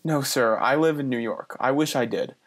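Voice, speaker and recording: A man's voice: medium pitch, lightly acted. An actor playing an earnest young man from the city who muses aloud and stammers a little when he is unsure. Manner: earnest, halting with small stammers. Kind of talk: radio play acting.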